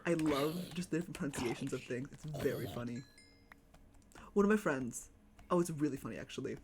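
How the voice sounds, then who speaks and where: Lively, rambling streamer with a Chicago accent. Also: medium pitch, brisk, background noise. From United States. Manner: rambling, chatty, talks to game mobs. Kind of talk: casual live-stream chat while gaming.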